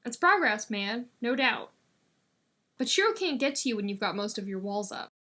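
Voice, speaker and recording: A blunt young man in an audiobook story , acted out by a woman, handing out teasing advice with authority. The voice is bright and forward and rather high. He talks like a frank college friend who is sure he is right. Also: sing-song, moderate pace, heavily acted. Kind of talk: audiobook character dialogue.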